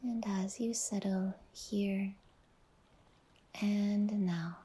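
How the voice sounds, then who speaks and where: Very slow, breathy woman guiding meditation. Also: mid-range voice, fairly even intonation. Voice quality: soft, breathy, whispery. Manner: soothing, unhurried, with long pauses. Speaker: yoga nidra guide and podcast host. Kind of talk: guided relaxation meditation.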